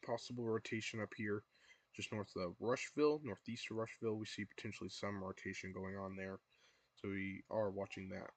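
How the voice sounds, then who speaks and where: A man's voice: fairly low pitch, dry recording. Young man hosting a live weather stream. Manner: repetitive, filler-heavy, urges viewers to take shelter. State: losing his voice. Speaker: livestream weather host. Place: his room at home.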